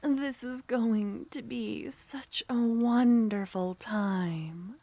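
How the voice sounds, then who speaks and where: Lady playing a clingy flirty witch, super slow and whispery, teasing asmr vibe. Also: fairly high pitch, no echo, full character voice. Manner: soft, teasing, often whispered. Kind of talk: ASMR roleplay.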